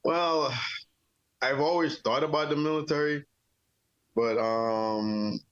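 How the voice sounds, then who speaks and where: A man's voice: fairly high pitch, takes it slowly, no room sound, lo-fi sound. A middle-aged man from Jamaica, an army veteran telling his story in a casual interview, rambling easily with many fillers. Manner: relaxed, rambling with many "you know" fillers.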